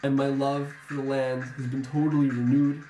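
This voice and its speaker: A young man talks about his time in the army in a steady, reflective way, pausing now and then to find his words. His voice sits in a middle register. The room gives it a roomy echo, with soft music underneath. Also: mid-range voice. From United States. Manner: measured, reflective, with frequent ums. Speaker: former soldier interviewee. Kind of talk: earnest interview testimony.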